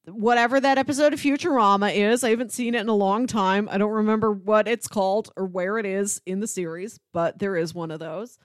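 A woman's voice: mid-range voice, fairly even intonation, a little fast, few pauses, no room sound, clean studio sound. A woman co-hosting a podcast, talking in a casual movie review chat. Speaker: podcast co-host.

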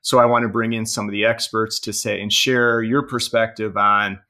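Podcast host guy, bright sharp voice, upbeat, jokes around with the guest. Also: on the low side, level tone, normal speed. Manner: upbeat, joking. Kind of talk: casual interview chat.

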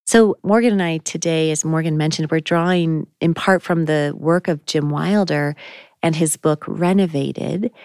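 A woman's voice: low-pitched, a little mellow, clean tone, no room sound, studio quality. A woman co-hosting a faith podcast, talking in a thoughtful, searching way with frequent ums. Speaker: podcast co-host. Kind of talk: reflective faith conversation.

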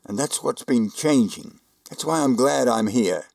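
A man playing a kindly, earnest old man who believes he is Santa, gentle, hesitant and folksy in an old-time radio drama. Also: medium pitch, animated, measured pace, gravelly. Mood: earnest, warm. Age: older.

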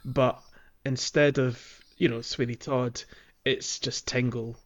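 A man's voice: mid-range voice, little pitch movement, slow pace, highs cut off. A man co-hosting a gaming podcast, talking casually in a hesitant, rambling way. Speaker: podcast co-host.

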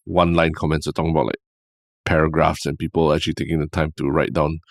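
A Singaporean man co-hosts a podcast in a very deep voice. The recording is dry and close. He talks in an easygoing way, often repeats words, and ends many lines with "lah". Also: level tone, fast, gravelly, no echo. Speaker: podcast co-host. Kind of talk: casual chat.

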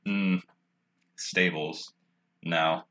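Deep-voiced man playing a tabletop game. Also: fairly even intonation, drawn-out words, fairly bright tone. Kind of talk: brief in-game action declarations.

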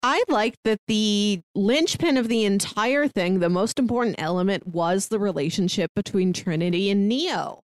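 A woman's voice: mid-range voice, lively intonation, moderate pace, few pauses, dry recording, clean studio sound. A woman co-hosting a casual film podcast over a remote call, chatty and laughing as she talks. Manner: chatty, laughs while talking. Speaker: podcast co-host.

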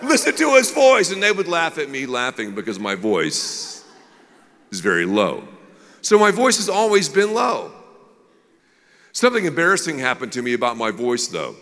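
A man's voice: mid-range voice, big pitch swings, moderate pace, a bit bright, big-room sound. A man who is a pastor, preaching a sermon in a candid, self-deprecating storytelling way.

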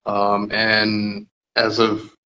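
Slow-talking low-voiced Georgia man. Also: monotone, bright, forceful tone, lo-fi sound. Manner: unhurried, measured, with pauses and "um"s. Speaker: lab technologist, guest. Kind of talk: casual interview answers.

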